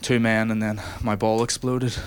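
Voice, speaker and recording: Young male comic, low voice, dry self-mocking storyteller working a live room. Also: normal speed. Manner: self-deprecating, deadpan asides. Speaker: stand-up comedian. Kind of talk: stand-up storytelling with asides. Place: comedy venue with live audience.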